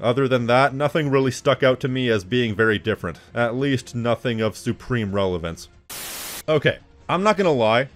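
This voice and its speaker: A man's voice: mid-range voice, animated, moderate pace, soft background music. A man delivering scripted video commentary as an essayist, with snide, wry asides.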